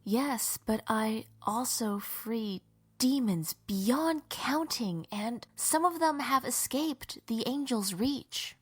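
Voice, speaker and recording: A woman playing a devout, defiant young warrior in a fantasy audio drama, speaking earnestly and with deliberate conviction. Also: fairly high pitch, expressive, slightly rough, full character voice. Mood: troubled.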